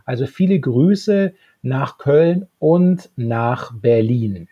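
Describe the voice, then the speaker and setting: A man in his thirties with a husky voice, talking as a podcast co-host. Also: medium pitch, expressive, extremely slow, highs cut off. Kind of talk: podcast talk, partly read summary. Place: small book-lined room at home. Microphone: handheld-style recorder microphone close up, earphones.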